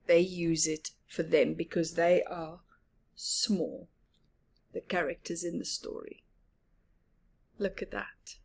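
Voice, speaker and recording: A woman's voice: fairly low pitch, flat delivery, takes it slowly, vocal fry, long pauses, darkish tone, husky. A woman hosting storytime, reading aloud expressively to young children and adding chatty asides. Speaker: storytime host.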